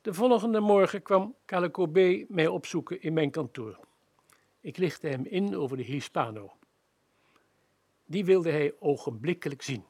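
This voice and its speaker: An actor playing a practical hotel manager telling his story in a radio play, with a gravelly, husky voice. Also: on the high side, expressive, unhurried, darkish tone, no echo, heavily acted. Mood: dry, reluctant.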